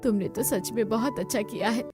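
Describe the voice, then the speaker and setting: A woman's voice: on the high side, fairly quick, over music, pitched below the performer's own voice, natural voice. A woman voicing a caring older mother-in-law of a poor household in a cartoon story. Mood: soothing.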